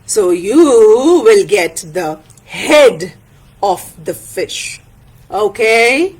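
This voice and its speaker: Woman doing old male village elder, storybook, stern, sly, unhurried drawn-out delivery, pondering, authoritative. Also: medium pitch, very animated, a little mellow, slightly rough, voice pushed down, heavily acted. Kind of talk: oral storytelling character voice.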